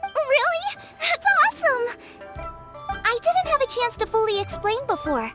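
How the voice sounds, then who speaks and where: A woman's voice: extremely high pitch, very animated, measured pace, music in the background, heavily acted. A woman voicing a cheerful, friendly teenage girl cook in a game, chatty and eager. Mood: excited. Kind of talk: scripted game voice acting.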